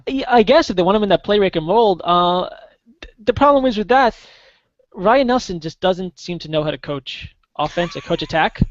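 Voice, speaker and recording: Casual male guest voice, pitched high, dry close sound, lots of ums. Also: expressive, dry recording. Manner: halting, many fillers and restarts. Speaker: guest soccer contributor. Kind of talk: casual podcast chat. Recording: dull lo-fi podcast audio, dry.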